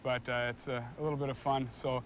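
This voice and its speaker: A young man, a hockey player, giving casual, halting interview answers with repeated words and frequent filler phrases. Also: medium pitch, level tone, a little hiss or hum. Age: young adult.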